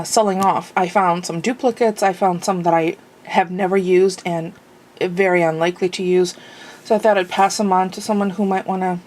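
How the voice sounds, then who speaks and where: A woman's voice: medium pitch, darkish tone, slight background noise. A woman vlogging at home in a casual, rambling way, a little sniffly and full of fillers. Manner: rambling, chatty, many fillers. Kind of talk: casual chat. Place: home, indoors.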